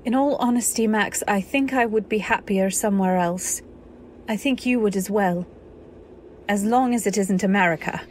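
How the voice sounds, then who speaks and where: An actress playing a wistful, refined young wife narrating her memories in an old-time radio drama, measured and literary. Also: medium pitch, slight background noise, natural voice. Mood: earnest.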